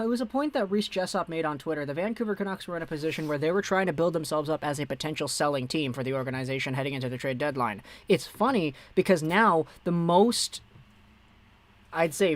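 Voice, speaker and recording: A young man hosting a casual hockey live stream, chatting and answering viewer messages as they come in. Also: fairly high pitch. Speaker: hockey livestream host.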